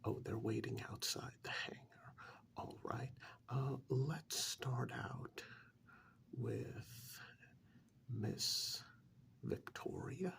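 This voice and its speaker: A man playing a polite, fussy official in a soft-spoken ASMR role-play, whispering in a formal, hesitant, courteous way. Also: mid-range voice, extremely slow, long pauses, heavily acted. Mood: officious, apologetic. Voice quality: soft, breathy whisper with gravelly edge. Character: polite, fussy, methodical male tax official auditing non-human clients.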